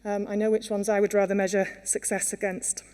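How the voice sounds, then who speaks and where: A woman's voice: medium pitch, little pitch movement, brisk, a little mellow, clean tone. A Welsh woman giving an earnest conference talk, with many fillers and restarts. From Wales. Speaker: conference speaker. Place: conference hall. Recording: long hall reverb.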